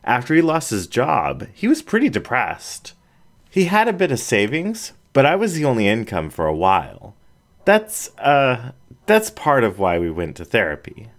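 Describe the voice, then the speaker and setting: A man's voice: mid-range voice, huge pitch swings, normal speed, pitched above the performer's own voice. A man voicing a confident, playful female border collie in an audiobook reading. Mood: frank. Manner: playful, frank. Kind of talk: storybook reading.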